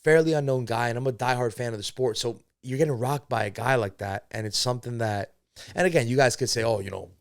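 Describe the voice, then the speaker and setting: A young man hosts a casual fight podcast in a mid-pitched voice. He rambles and swears as he goes, recorded dry and close with no room sound. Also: fast talker, dry recording. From born in Spain, raised in America. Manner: rambling, profane, admits to segues. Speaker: podcast host. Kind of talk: casual solo podcast commentary.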